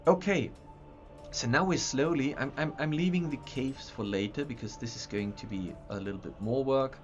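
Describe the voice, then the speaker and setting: A man's voice: mid-range voice, normal speed. A man hosting a livestream, chatting casually in a rambling way with stumbling restarts and repeated words. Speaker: livestream host showing map software. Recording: dull lo-fi sound with faint music.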